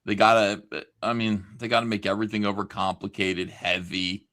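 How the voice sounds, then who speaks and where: A man's voice: lowish voice, fairly even intonation, normal speed, fairly bright tone. A man co-commentating on a livestream in casual, opinionated chat.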